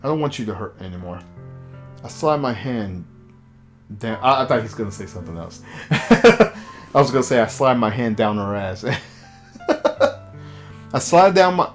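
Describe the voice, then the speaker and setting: Low-pitched, very animated man streaming. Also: unhurried, soft background music. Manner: exasperated, giggly asides between read lines. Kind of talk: live visual novel read-aloud with reactions.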